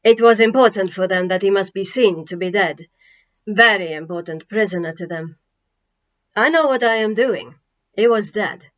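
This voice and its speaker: A woman's voice: medium pitch, moderate pace, fairly bright tone, dull audio, natural voice. A woman voicing a solemn male Roman centurion in a storybook, firm and certain in short, clipped statements.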